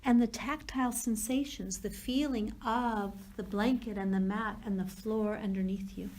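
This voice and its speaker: A woman leading a guided yoga nidra meditation as a teacher, speaking in slow, soothing, unhurried phrases with pauses between them. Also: mid-range voice, fairly even intonation, darkish tone.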